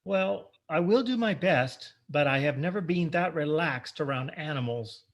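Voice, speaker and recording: A man's voice: fairly high pitch, lively intonation, moderate pace, background noise. A man playing a timid, dutiful grown son in a comic radio play, meek and earnest. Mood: anxious. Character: timid, dutiful grown son under his mother's thumb. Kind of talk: comic old-time radio play acting.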